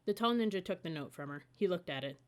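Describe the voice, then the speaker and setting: A woman reads a children's audiobook in a slightly low voice. She narrates the story as a storybook reader, carrying the listener through the scenes. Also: lively intonation, fast talker, clear, resonant tone.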